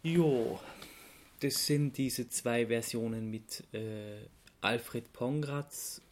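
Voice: medium pitch, drawn-out words, a little mellow, slight background noise. A podcast host chatting casually in a jokey way, often ready to laugh. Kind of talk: casual chat.

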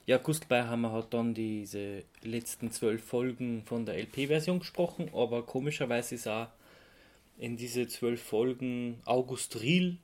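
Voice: mid-range voice, a little slow, darkish tone. A podcast co-host with an Austrian accent, chatting casually and explaining things in a conversational way. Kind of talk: casual chat about radio plays.

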